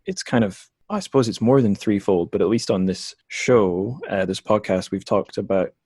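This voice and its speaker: Podcast host, male, from Scotland. Deep register, hesitant conversational phrasing, dry recording. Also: expressive, normal speed, darkish tone, husky, no room sound. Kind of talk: casual interview chat.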